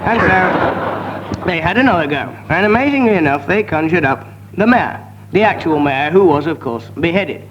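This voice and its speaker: A man with a fairly high voice improvises a comic story, wandering off into halting, mock-learned asides. The sound is muffled and clearly noisy. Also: animated. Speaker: comedy panellist. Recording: muffled broadcast with background noise.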